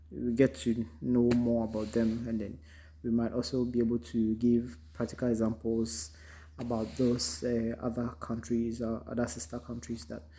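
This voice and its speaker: A man from Ghana with a Ghanaian accent, giving an unhurried, reflective motivational talk full of ums, recorded in a car. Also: mid-range voice, dark tone. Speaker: vlogger. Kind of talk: casual motivational monologue.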